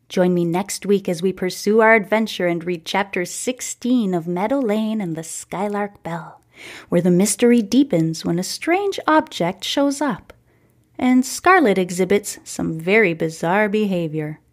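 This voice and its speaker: A woman host reading a story in a measured, scripted audiobook style. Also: mid-range voice, animated. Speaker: podcast host and book narrator. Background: music.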